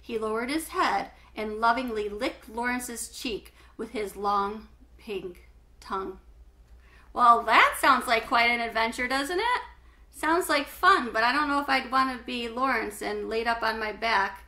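A middle-aged woman reading a children's book aloud with animated, clearly phrased storytelling. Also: on the high side, a little slow. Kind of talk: expressive children's book read-aloud narration. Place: small home living room, indoors.

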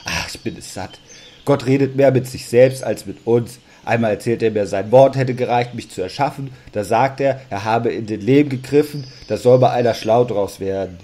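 A man's voice: medium pitch, normal speed, mellow, natural voice. A man acting a sulky, doubting Adam in a comedic audio drama. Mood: exasperated. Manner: whiny, exasperated. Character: Adam, a sleepy, grumbling, doubting first man.

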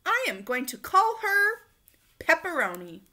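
Woman playing an eager, high-pitched young storybook boy. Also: wildly swooping pitch, measured pace, bright, forceful tone, heavily acted. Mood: excited. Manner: coaxing, emphatic. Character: eager young boy, earnest and pleading with his kitten.

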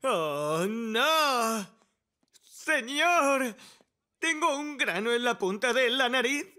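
A man's voice: extremely high pitch, lively intonation, extremely slow, bright, forceful tone, exaggerated voice. A man voicing a vain, fretful young firefighter in a cartoon dub, theatrical. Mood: dismayed. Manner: theatrical, self-absorbed. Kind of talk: animated children's cartoon dub. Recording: music behind the voice.

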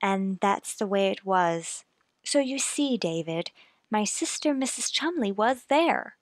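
A woman playing a gentle, concerned character in an old-time radio play, soothing and earnest. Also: mid-range voice, lively intonation, a little slow, no echo, clean studio sound. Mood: gentle, persuading.

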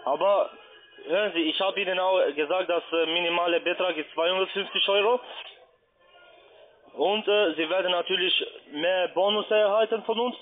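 High-pitched accented man on a phone line. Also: slight background noise. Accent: foreign. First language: not German. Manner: insistent, repetitive, halting. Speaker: call centre agent posing as customer adviser. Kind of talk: unscripted phone sales pitch. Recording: tinny band-limited phone line.

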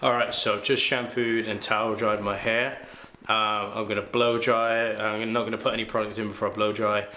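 Barber with a bright voice. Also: male voice, on the low side, fairly quick, a little hiss or hum. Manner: relaxed and chatty, many ums and uhs. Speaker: barber reviewing a hair product. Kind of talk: casual product review vlog. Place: small room indoors. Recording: echoey room with faint ambience.

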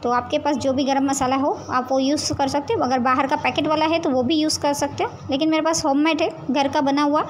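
A woman with a high, light voice talks viewers through a recipe step by step. Her delivery is calm and explanatory, often pointing things out as she goes. A faint hiss of background noise sits under the voice. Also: a little fast, few pauses. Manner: calm, explanatory, addresses viewers directly with frequent "see this". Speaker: home cooking channel host. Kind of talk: step-by-step cooking demonstration voice-over. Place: home kitchen.

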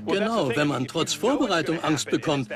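A man's voice: fairly high pitch, expressive, moderate pace, crowd noise, close to an everyday voice. A man talking conversationally as a participant in a dubbed documentary.

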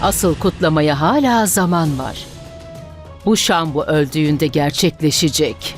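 A performer dubbing a bitter, spiteful villain in a TV drama, speaking slowly and ominously. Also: mid-range voice, expressive, over music, lightly acted. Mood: venomous. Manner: slow, ominous. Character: bitter, spiteful villain wishing death on others.

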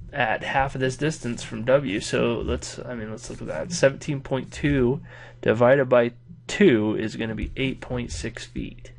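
A man's voice: mid-range voice, vocal fry. A man with a nasal, stuffed-up voice from a cold, walking through an engineering problem in a casual tutoring style. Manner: casual, thinking aloud while working problems. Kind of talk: whiteboard lesson walkthrough.

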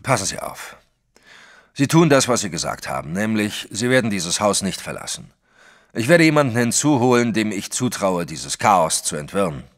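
An actor playing a wary, plain-spoken private detective in a German audio drama, firm and sceptical. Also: male voice, on the low side, very animated, normal speed. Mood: firm, commanding.

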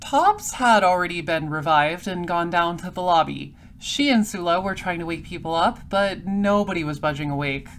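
An actress playing a sardonic, self-assured space detective and narrator, with a dry, businesslike delivery. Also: female voice, on the low side, animated, normal speed, few pauses. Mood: narrating. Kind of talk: audio drama acting and first-person narration.

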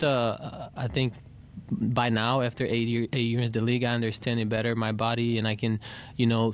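A man in his thirties answering reporters after a game at a desk mic, halting with many fillers and restarts. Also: mid-range voice, clear, resonant tone. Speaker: basketball player at press conference. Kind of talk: post-game press answers. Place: arena press room.

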